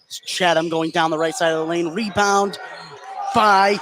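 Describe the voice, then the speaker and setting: A man doing live play-by-play basketball commentary for radio, getting excitable on big plays. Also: sits high, normal speed. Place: indoor gymnasium during a game. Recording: noisy live gym broadcast.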